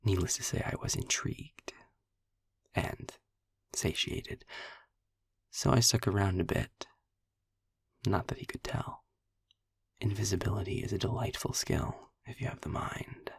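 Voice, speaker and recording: Sarcastic male demon for intimate audio roleplay, played by a man: low, slow, darkly amused, deliberate storyteller. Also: steady tone, dry recording, heavily acted. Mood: wry, intrigued. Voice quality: low, breathy, gravelly near-whisper. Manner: sarcastic, wordy, deliberate storytelling with dramatic pauses. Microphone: close microphone in a dry, quiet space.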